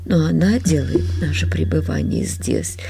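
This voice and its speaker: A woman's voice: low voice, huge pitch swings, normal speed, creaky, mellow, exaggerated voice. An actress playing a grey-haired aristocratic lady in a radio drama, tactful and hesitant but politely indignant.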